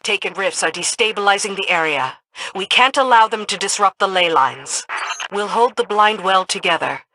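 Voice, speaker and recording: A woman playing a loyal, blunt military lieutenant in a video game, crisp and commanding. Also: mid-range voice, moderate pace, bright, forceful tone, slight background noise, poor audio. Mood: resolute. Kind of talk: scripted video game acting.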